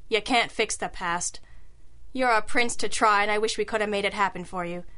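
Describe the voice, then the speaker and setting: A woman playing a sharp, wry private detective in an old-school radio drama, crisp and matter-of-fact, with compassion underneath. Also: mid-range voice, moderate pace, natural voice. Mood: gentle, sincere.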